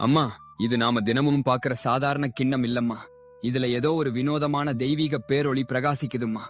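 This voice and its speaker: Man playing an earnest young village goatherd, mid-pitched. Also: steady tone, moderate pace, natural voice. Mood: sincere. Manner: earnest, emotional. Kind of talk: animated moral story acting.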